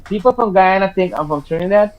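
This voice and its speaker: Surinamese man, halting interview talk. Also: high voice, dull audio. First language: Hindi. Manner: halting, repeats words often. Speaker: guest singer. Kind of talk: casual interview chat.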